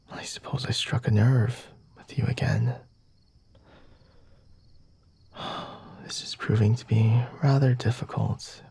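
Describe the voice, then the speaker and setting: Man acting as a teasing male vampire lord, very husky and breathy, gravelly creak, self-assured, formal, ASMR roleplay. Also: medium pitch, full character voice. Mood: teasing, amused. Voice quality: breathy, husky, often dropping to a whisper. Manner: unhurried, formal, softly teasing, with sighs. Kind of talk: ASMR roleplay, intimate dramatic monologue.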